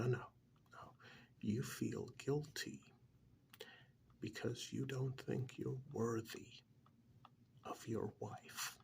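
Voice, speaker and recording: A man playing a calm, blunt couples therapist in a soft-spoken ASMR role-play, half-whispering with breathy, deliberate, hesitant pauses. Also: mid-range voice, slight background noise, heavily acted. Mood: calm, musing. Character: calm, blunt male couples therapist counselling married clients. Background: soft clicking of pencil tapping.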